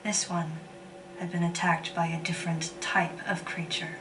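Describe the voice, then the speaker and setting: An actress playing a stoic, introspective female bounty hunter, narrating her mission in a restrained, deliberate video game monologue. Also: fairly low pitch, little pitch movement, natural voice. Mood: somber, brooding. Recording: distant game audio under loud music.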